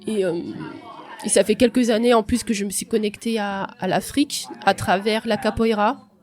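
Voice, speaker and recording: A young woman from France giving a reflective, hesitant personal testimony on a podcast, with many pauses and restarts. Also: medium pitch, fairly even intonation, darkish tone. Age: young adult. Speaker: podcast guest.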